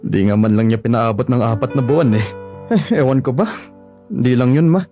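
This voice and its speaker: A man's voice: mid-range voice, brisk, warm tone, dull audio, soft background music, natural voice. A young man playing a polite, heartbroken son in a radio drama, speaking in a subdued, downcast way.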